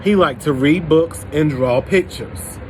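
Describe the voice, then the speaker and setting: A man reading a picture book aloud to children, pausing to put questions to his young listeners. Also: on the high side, soft background music, low-quality recording. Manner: pauses to prompt young listeners. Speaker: storybook reader for children.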